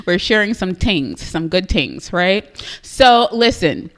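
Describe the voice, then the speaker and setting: A woman's voice: medium pitch, sing-song, slow pace, a bit bright. Upbeat chatty Trinidadian woman host. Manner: upbeat and chatty, often adds "right?", laughs easily. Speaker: host of a live business show. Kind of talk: live-stream chat to viewers.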